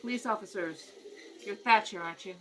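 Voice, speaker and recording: A woman plays a brisk female detective in a radio crime drama. She is concise and direct, with a medium-pitched, businesslike delivery. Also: sharp tone, lightly acted, low-quality recording. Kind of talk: amateur 1950s radio crime drama acting. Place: at a kitchen table.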